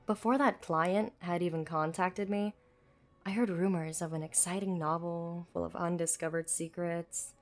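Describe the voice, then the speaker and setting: Woman doing a frank desert warrior for a game fan dub, lowish voice, chatty and reflective, music underneath. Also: fairly even intonation, normal speed, lightly acted. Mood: reflective, candid. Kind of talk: amateur game-quest dub.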